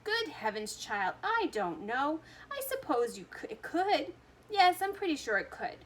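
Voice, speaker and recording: A woman voicing an eccentric, excitable male chocolatier with theatrical exclamations in a storybook reading. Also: sits high, measured pace, slight background noise, pitched above the performer's own voice, full character voice. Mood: indignant.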